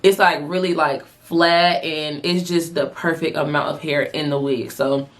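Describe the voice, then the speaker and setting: A young woman reviewing a wig in a casual vlog, her voice hoarse and raspy after a night out, talking in a chatty, slangy, animated way. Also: sits low, a little slow. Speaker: beauty vlogger.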